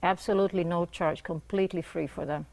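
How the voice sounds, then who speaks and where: A woman's voice: fairly low pitch, steady tone, a little fast, vocal fry, darkish tone. A middle-aged woman, a guest on a morning TV show, speaking earnestly in short plain bursts. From Israel. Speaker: guest, nonprofit founder. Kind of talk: morning TV interview. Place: TV studio.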